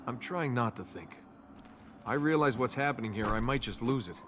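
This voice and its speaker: A man acting a flippant young adventurer in a video game. His pitch is mid-range and very lively, his manner casual, quick to make a sardonic quip. Clear background noise sits behind the voice. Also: close to an everyday voice. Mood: wry, uneasy.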